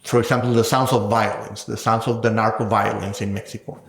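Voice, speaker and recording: A man's voice: fairly low pitch, level tone, a little fast, vocal fry, fairly bright tone. A man, a guest scholar, talking through ideas in an academic interview, thinking aloud and often ending points with a questioning "no?". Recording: roomy echo.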